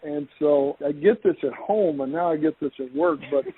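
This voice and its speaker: A man's voice: on the high side, normal speed, husky. A man with a West Texas accent hosting a podcast, chatting in a jokey, self-deprecating way. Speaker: podcast host. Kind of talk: casual video-call chat. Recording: phone-like call audio.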